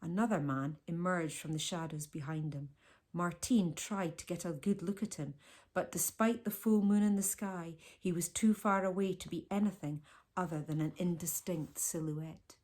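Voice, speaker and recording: A woman reading a children's storybook aloud as a teacher, with expressive storytelling delivery. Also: lowish voice, normal speed.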